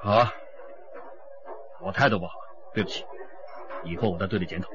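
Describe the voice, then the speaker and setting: A man's voice: sits low, brisk, a bit bright, highs cut off, slight background noise. An actor in a radio drama playing an irritable, short-tempered male detective. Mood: sullen.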